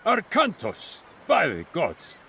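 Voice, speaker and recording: A man's voice: high voice, wildly swooping pitch, slow, vocal fry, bright, forceful tone, a little hiss or hum, heavily acted. Pompous warrior king. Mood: proud. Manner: pompous, declaiming. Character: proud male king, army commander. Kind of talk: video game cutscene.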